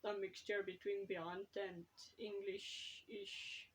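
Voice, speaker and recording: Slow-talking woman. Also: mid-range voice, steady tone, a little hiss or hum, poor audio. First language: Afrikaans. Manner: hesitant, thinking aloud with fillers; consults her notes while talking. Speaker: writer and vlogger. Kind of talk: casual talk to camera.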